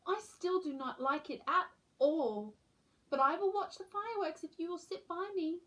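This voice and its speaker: A woman's voice: very high voice, normal speed, a bit bright, pitched above the performer's own voice, low-quality recording. A woman reading a storybook as a young male baby owl, earnest and timid in a childlike, emphatic way. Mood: earnest, wary.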